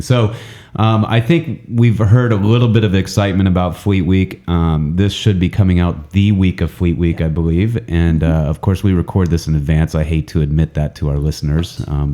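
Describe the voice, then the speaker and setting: Podcast host, male, very low and dark, congested from a cold, rambling and self-mocking. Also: fairly even intonation, a little fast, hardly any pauses. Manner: rambling, self-mocking, many ums and uhs. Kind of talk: casual podcast chat. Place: indoor training center room, several people around a table.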